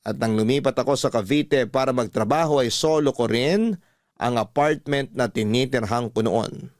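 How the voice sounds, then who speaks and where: A man narrating a listener's letter on a radio drama program, with a steady storytelling delivery. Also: medium pitch, moderate pace, dry recording, studio quality.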